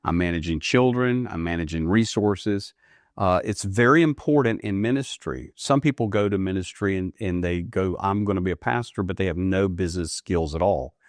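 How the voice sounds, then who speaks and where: Earnest man in his fifties, low voice. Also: moderate pace, no room sound, studio quality. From United States. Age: 50s. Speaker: guest, ministry leader. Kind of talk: interview answers. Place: studio.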